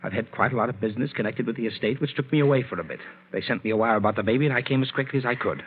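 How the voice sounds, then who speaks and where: A man's voice: medium pitch, very fast, lightly acted. A young man playing a weak, evasive, impatient husband and lover in 1940s radio melodrama, urgent and faltering. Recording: muffled noisy 1940s radio recording.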